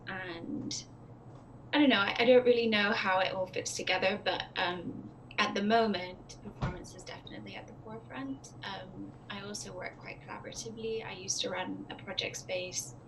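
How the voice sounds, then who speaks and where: Woman from Hong Kong, mid-pitched, tentative speaker, hissy video-call line. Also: gravelly. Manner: tentative, searching for words. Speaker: guest artist. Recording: noisy video call audio.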